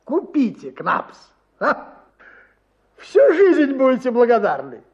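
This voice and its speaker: An actor playing a tipsy, boastful middle-aged army officer in a radio story, effusive and theatrical, drawling and wheedling. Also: male voice, very high voice, wildly swooping pitch, slow pace, slightly rough, exaggerated voice. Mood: wheedling salesmanship. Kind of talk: dramatized radio short story, partly sung. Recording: slightly muffled, guitar music behind.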